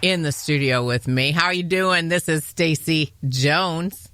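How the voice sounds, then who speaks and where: A woman in her thirties from Michigan hosting a podcast in casual chat, bubbly and quick to laugh. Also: low-pitched, fairly bright tone, a little hiss or hum. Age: 30s. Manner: bubbly, laughs often, cheers. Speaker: podcast host.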